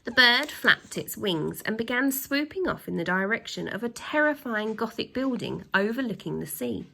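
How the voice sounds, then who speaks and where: A woman reading a children's story aloud with dramatic emphasis, pausing to put questions to her young listeners. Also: on the high side, lively intonation, vocal fry, bright, forceful tone, a little hiss or hum, low-quality recording. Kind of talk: expressive storytime narration with asides to children.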